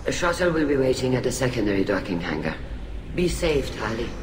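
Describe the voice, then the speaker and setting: Man as a presiding alien admiral, formal, measured, roomy, noisy backdrop. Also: mid-range voice, sing-song, close to an everyday voice. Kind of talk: video game cutscene dialogue. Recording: echoey game mix with background noise.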